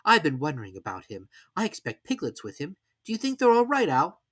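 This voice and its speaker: A narrator as an eager, high-voiced young boy. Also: brisk. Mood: worried, fond. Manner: earnest, excitable, childlike.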